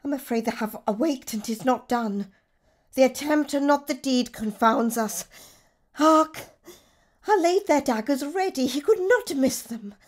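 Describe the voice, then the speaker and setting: An actress playing a ruthless, scheming noblewoman, speaking Shakespearean verse in a deliberate, theatrical manner for a radio drama. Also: sits high, expressive. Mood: tense.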